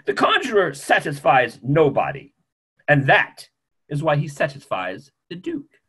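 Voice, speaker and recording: A man's voice: a little high, huge pitch swings, measured pace, fairly bright tone, breathy edge, full character voice. A man in his fifties playing a grumpy, opinionated older family doctor in a theatrical play reading, with a dry, emphatic manner. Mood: exasperated explaining. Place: small home room on a video call.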